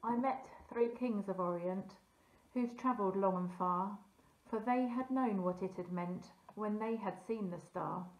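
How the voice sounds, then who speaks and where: A woman's voice: mid-range voice, a little slow, a little mellow. A woman reading a ballad poem aloud in a roomy, echoing space. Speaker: church group leader reading aloud.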